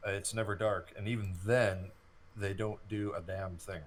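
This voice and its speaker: Male gaming co-host, 30, deeper voice, explanatory storyteller, casual commentary style. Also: fairly even intonation, moderate pace. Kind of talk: casual gameplay commentary and reminiscing.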